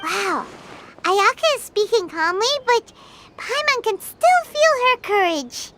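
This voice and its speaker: A woman voicing a tiny, excitable childlike fairy girl in an anime game, with a squeaky, exclaiming delivery. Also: unhurried, heavy background noise, exaggerated voice. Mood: curious, concerned. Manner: refers to self in third person, exclaiming. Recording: music behind the voice.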